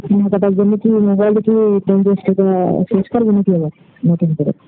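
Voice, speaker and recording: A woman's voice: medium pitch, steady tone, a little fast. A woman in a casual private phone conversation, answering with curt, teasing retorts. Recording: tinny phone line with noise.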